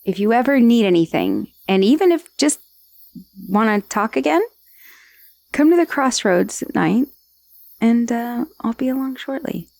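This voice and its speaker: A woman's voice: on the high side, very animated, a little slow, vocal fry, pauses often, darkish tone, dry recording, clean studio sound, exaggerated voice. Friendly magical severed head, female dullahan, played by an actress, ASMR roleplay. Leisurely pace, casual and a little hesitant. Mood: warm, grateful. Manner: casual, hesitant asides with sighs and murmurs. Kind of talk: ASMR roleplay acting, addressing the listener.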